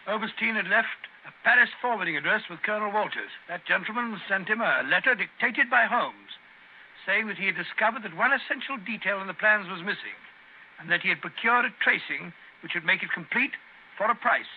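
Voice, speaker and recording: An actor playing an earnest, loyal detective's companion, fussy and genteel, in an old-time radio drama. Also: male voice, sits high, lively intonation, fairly quick. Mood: cheerful recounting. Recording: tinny, lightly noisy old radio recording.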